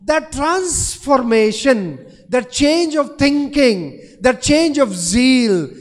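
A Franciscan priest in his forties preaches with a strikingly high voice, pressing his points with slow stresses and repeated phrases. He speaks into a stand mic. His words ring out with the long reverb of a large church. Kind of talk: sermon.

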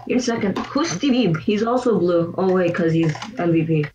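A young woman with a mid-pitched voice, chatty and quick to tease herself as she plays. Her sound comes through a dull, muffled voice chat with a faint hiss behind it. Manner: chatty, self-mocking. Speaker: friend playing along. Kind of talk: casual gaming chat.

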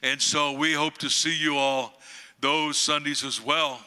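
An older man in his sixties from the United States, a guest pastor with a booming voice, preaching and praying slowly into a handheld microphone on a church stage. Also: fairly even intonation, bright, forceful tone, clean tone. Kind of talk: sermon and prayer. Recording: long hall reverb, faint music behind.

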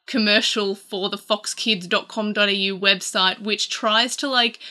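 A woman's voice: medium pitch, steady tone, measured pace, pushed and bright. A young adult Australian woman hosting a casual video chat about old ads, rambling and chatty with lots of fillers, at her desk in a small room. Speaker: YouTube host.

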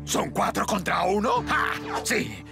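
A man voicing an excitable, boastful mole creature in a Spanish-language cartoon dub, theatrical and grandiose, with a creaky voice. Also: high-pitched, takes it slowly, over music, heavily acted. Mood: boastful, excited.

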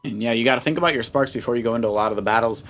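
A man's voice: medium pitch, very fast, fairly bright tone, soft background music. A man, middle-aged, giving casual let's play commentary in a playful, coaching way as a father guiding his child. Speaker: father guiding child through a video game.

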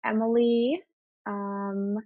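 A woman's voice: fairly high pitch, little pitch movement, very slow, no room sound. A young adult woman who stutters at times, presenting slides in a webinar in an open, conversational way. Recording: dull, lo-fi webinar audio.

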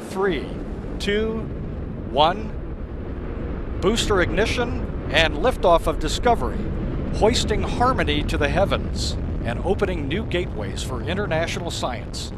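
A man's voice: lively intonation, unhurried. A man giving live rocket launch commentary as an announcer on a broadcast. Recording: noisy launch broadcast with engine rumble.

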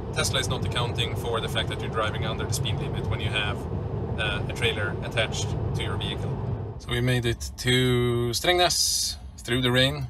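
A man from Sweden chatting casually while he drives, with lots of ums and you-knows. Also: mid-range voice. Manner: relaxed and chatty, with frequent "uh" and "you know". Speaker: vlogger. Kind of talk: casual road-trip commentary while driving. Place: inside a moving car. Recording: loud road noise in the car. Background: road and engine noise.

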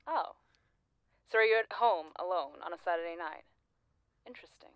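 An actress playing a lonely, persistent former classmate, probing and wryly blunt, in a naturalistic audio drama. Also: female voice, on the low side, moderate pace, clear, resonant tone, no echo, dull audio, natural voice.

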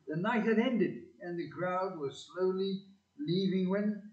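A man's voice: high voice, measured pace, darkish tone. A man giving scripted narration in an amateur audio play. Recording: echoey room, distant muffled mic.

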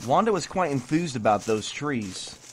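A man's voice: mid-range voice, very animated, normal speed, natural voice. A man playing a wry, evasive skeptic in an audio drama, dry and offhand. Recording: faint outdoor nature noise. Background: birds, outdoor ambience.

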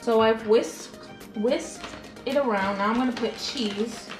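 A woman's voice: on the high side, measured pace. A young adult woman talking in a casual, rambling cooking-vlog style, with lots of informal filler phrases like a chat with friends. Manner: informal, rambling, frequent "like" and "you know". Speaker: home cooking vlogger. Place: home kitchen, indoors. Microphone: camera across the table. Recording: roomy, distant camera mic, background music.

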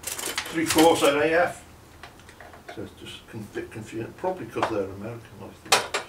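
An older man chats to himself and the viewer while working on a car, in a plain, mid-pitched voice that mutters along with the job. He is picked up from a distance by the camera, so his voice sounds roomy and echoey off the garage walls, with clear workshop noise behind him. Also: animated, moderate pace. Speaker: home car restorer. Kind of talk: casual workshop commentary. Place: cluttered home garage. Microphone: camera mic from a distance.

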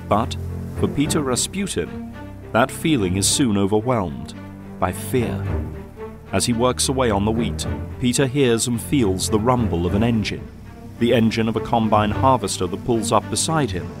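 A man's voice: lowish voice, lively intonation, moderate pace. A man narrating an audio drama from a script in a solemn, dramatic storytelling manner. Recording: loud music and effects behind.

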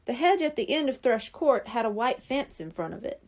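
A woman narrates a children's storybook in a fairly high, mellow voice. Her delivery is very animated and expressive, with lively rises and falls as she moves through the story. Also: moderate pace. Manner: animated, acts out dialogue. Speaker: audiobook reader.

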